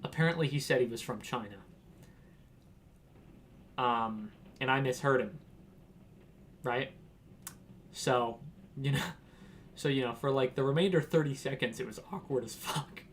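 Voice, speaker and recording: A man's voice: mid-range voice, measured pace, long pauses, slight background noise. A young man from Washington telling a casual, rambling story, laughing and acting out quotes. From Washington, United States. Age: young adult. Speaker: vlogger.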